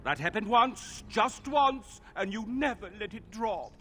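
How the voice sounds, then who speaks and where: An actor playing an excitable, temperamental perfectionist armorsmith in a fantasy video game, theatrical and fussy. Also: male voice, high voice, a little slow, exaggerated voice. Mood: petulant, indignant. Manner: theatrical, fussy, gushing and petulant.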